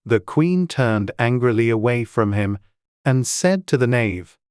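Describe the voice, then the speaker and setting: A man's voice: medium pitch, expressive, a little slow, a little mellow, smooth, dry recording, clean studio sound. A man reading scripted storybook narration as an audiobook narrator. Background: faint music.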